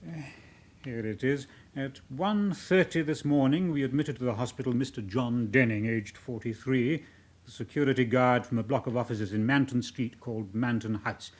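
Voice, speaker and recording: An actor playing a reserved British hospital registrar in a radio drama, formal, measured and clinical. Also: male voice, medium pitch, lightly acted.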